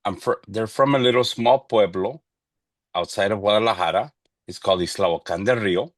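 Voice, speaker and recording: A man's voice: on the low side, brisk, no room sound, clean studio sound. A Mexican American man from Southern California, a podcast guest who jokes playfully and drops Spanish phrases into casual chat. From El Monte, California.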